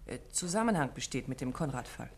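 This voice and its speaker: An actress playing a detective's attentive, gently curious wife in a 1950s German radio play, in a conversational manner. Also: female voice, very deep voice, lively intonation, fast, breathy edge, heavily acted. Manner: conversational, questioning.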